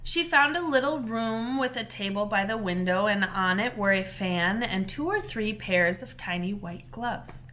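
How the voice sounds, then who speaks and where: A woman, a teacher, reading a children's storybook aloud in a gentle, clear storytelling manner. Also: mid-range voice, a little slow, hardly any pauses, slight background noise.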